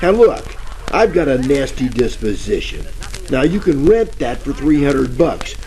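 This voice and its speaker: A man's voice: mid-range voice, very animated, measured pace, dark tone. An actor playing a bumbling, jittery private detective in a 1940s radio parody, rambling and stammering through tough-guy slang over crackly static. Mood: nervous.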